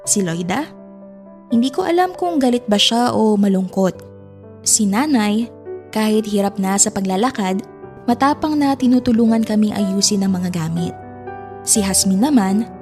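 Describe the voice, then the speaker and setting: A woman's voice: mid-range voice, dark tone. A woman narrating a scripted drama in the first person, reflective and sombre. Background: music.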